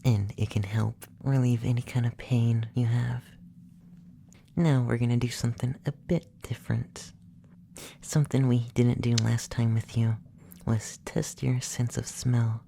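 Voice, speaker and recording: A performer playing a calm, clinical, gently curious young effeminate male alien abductor in a soft, breathy, often whispered ASMR roleplay, speaking slowly and soothingly. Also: medium pitch, slight background noise. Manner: slow, soothing, softly spoken, matter-of-fact.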